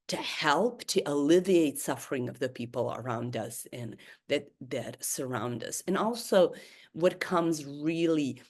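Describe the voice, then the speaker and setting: Woman in her fifties, hesitant webinar lecture. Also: low voice. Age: 50s. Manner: hesitant, many fillers and restarts. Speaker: therapist giving a webinar talk.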